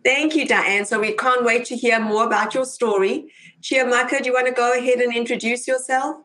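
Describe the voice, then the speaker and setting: A woman's voice: a little high, steady tone, fairly quick, pushed and bright. A woman hosting an online interview show, talking upbeat with frequent fillers. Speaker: host. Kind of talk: hosted webinar interview.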